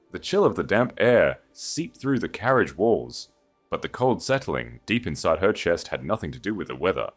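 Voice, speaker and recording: Deep-voiced, expressive male story narrator. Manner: measured, literary, solemn phrasing. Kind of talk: dramatic scripted audiobook narration.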